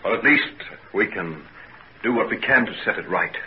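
An actor playing a kindly, earnest doctor with a gentle, reassuring manner, in 1940s radio drama style. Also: male voice, fairly low pitch, animated, normal speed, lightly acted. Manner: gentle, reassuring, solemnly determined. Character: earnest, kindly male doctor soothing a child patient. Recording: muffled, noisy 1940s radio recording.

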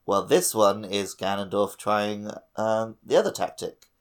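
A man's voice: on the low side, a little slow. A man hosting a podcast, casually discussing a game in an informal, explanatory way. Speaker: podcast host.

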